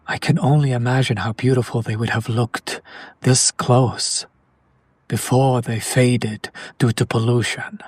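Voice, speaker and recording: Man acting as courtly male vampire, unhurried pace, medium pitch, tender and wistful, formal old-fashioned wording, romantic audio roleplay, music behind. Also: breathy edge, no echo. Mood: tender, flirtatious. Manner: formal, archaic wording, measured and flirtatious.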